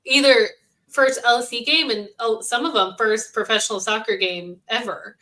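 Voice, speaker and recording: A woman co-hosting a fan podcast in casual chat, agreeable and quick to affirm others. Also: a little high, fairly even intonation, sharp tone. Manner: agreeable, frequent short affirmations.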